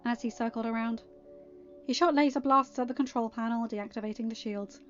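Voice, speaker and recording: A woman reading a fan fiction story aloud as an amateur narrator, in an expressive scripted style. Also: fairly high pitch, brisk. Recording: dull, band-limited audio with background music. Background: quiet music.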